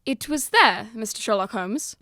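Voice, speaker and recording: A woman's voice: on the high side, expressive, moderate pace, pushed and bright, no echo, studio quality. A woman acting a coldly courteous, menacing butler in an amateur audio drama, formal and mock-deferential. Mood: menacing, smug.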